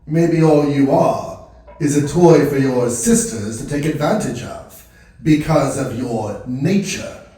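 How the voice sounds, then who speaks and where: A man playing a calm, priestly counsellor with a hint of menace in a fantasy audio drama, speaking in a measured, formal way. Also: medium pitch, lightly acted. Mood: coldly menacing. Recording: cavernous echo, distant from mic.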